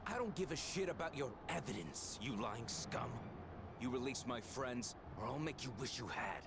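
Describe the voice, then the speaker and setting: A woman's voice: extremely low pitch, expressive, moderate pace, background noise, voice pushed down. A woman voicing a defiant, hot-headed young man in a western fantasy game, combative and aggrieved. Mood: angry, threatening.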